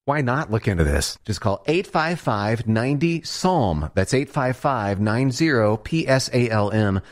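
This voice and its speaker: A man's voice: medium pitch, expressive, unhurried. Earnest male radio ad voice. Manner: earnest, persuasive, conversational. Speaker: commercial announcer. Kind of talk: read radio ad.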